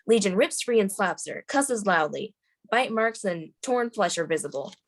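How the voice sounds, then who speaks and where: A woman's voice: mid-range voice, lively intonation, moderate pace. A woman reading screenplay stage directions as the narrator at a table read.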